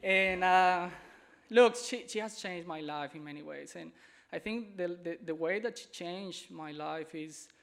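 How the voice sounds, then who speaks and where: A man from Colombia with a Colombian Spanish accent, a native Spanish speaker, giving a conference presentation in a halting way, searching for words and repeating himself. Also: high-pitched, vocal fry, a bit bright. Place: conference hall.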